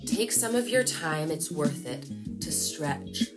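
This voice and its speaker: A woman's voice: low-pitched, slow pace. Chatty woman coaching with music behind. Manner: lively, chatty, animated hand gestures. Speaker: voice-over coach. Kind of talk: casual tutorial to camera. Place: small room at home, indoors.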